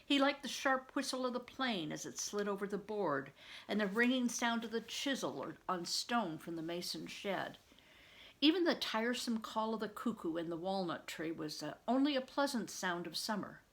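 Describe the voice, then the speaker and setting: An older woman reading a story aloud like a teacher, pausing to explain and put questions to the listener. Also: medium pitch, lively intonation, fairly bright tone, rough recording.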